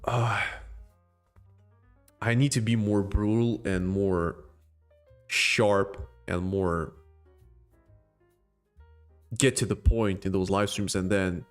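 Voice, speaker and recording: A man's voice: on the low side, steady tone, slow, long pauses, soft background music. A man with a non-native English accent hosting a casual crypto live stream, joking and rambling as he answers chat. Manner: rambling, joking, with laughs and restarts.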